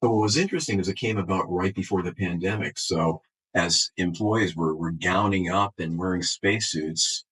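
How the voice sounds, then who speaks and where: A man speaking as an executive guest in an interview, answering off the cuff in a halting way, repeating words and restarting phrases. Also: low voice, a bit bright, slightly rough, rough recording. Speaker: health system chief executive, interview guest.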